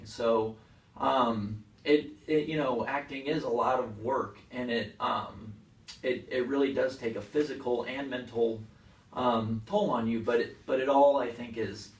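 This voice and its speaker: Man talking in an echoey room, distant mic. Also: medium pitch. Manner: halting, explanatory, simple wording for a child. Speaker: interviewee, stage performer. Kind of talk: casual interview answers.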